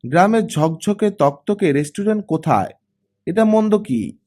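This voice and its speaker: Man playing an easygoing, animated storytelling teacher. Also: sits high, a little slow, voice pushed up. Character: friendly male storytelling teacher, teasing, easygoing.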